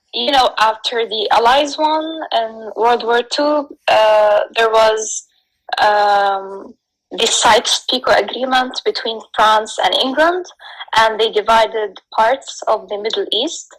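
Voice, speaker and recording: Woman, from Lebanon, fairly high voice, interview guest, halting explanatory delivery, frequent pauses. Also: rough recording.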